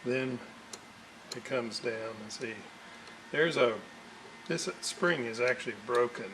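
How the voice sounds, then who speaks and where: A man giving an unscripted hands-on workbench demonstration as a hobbyist restorer, haltingly thinking aloud as he works. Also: medium pitch. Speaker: hobbyist restorer presenting a repair video. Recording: noisy room recording with clear background noise.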